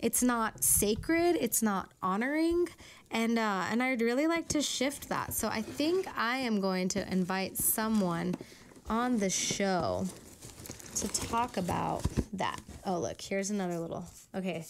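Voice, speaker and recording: A woman's voice: medium pitch, very animated, unhurried, a little hiss or hum. Chatty rambling woman, Spanish native. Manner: chatty and rambling, with many fillers. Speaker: vlogger hosting her own show. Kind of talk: casual rambling chat, reading old letters aloud.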